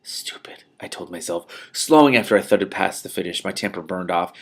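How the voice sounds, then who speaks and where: A man reading a novel aloud as host, in an expressive style with emphatic phrasing and off-the-cuff commentary. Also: deep voice, a little fast. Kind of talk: expressive audiobook-style reading with spontaneous reactions.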